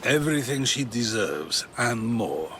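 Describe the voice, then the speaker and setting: A man's voice: mid-range voice, sing-song, a little slow, fairly bright tone, a little hiss or hum. An actor playing a dignified, steadfast Athenian man in a dramatic game cutscene. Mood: resolute.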